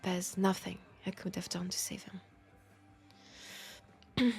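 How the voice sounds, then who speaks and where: A woman playing a lonely, centuries-old female vampire in an intimate audio drama, with a French accent and a soft, confiding, unhurried delivery. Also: fairly low pitch, steady tone, faint music behind. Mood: wistful, grieving. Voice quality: soft, breathy, often dropping to a whisper. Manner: unhurried, confiding, pausing between phrases.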